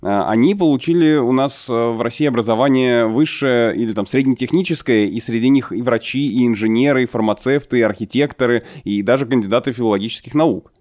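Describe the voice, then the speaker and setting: A man's voice: medium pitch, fairly quick, hardly any pauses, darkish tone, dry recording, clean studio sound. A man interviewing someone, asking long, roundabout questions with many hesitations. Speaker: interviewer, host.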